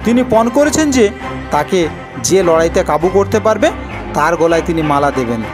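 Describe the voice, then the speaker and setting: A woman voicing a matter-of-fact local in a cartoon folk tale, gravely explaining things. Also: fairly low pitch, lively intonation, normal speed, over music, lightly acted. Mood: informative.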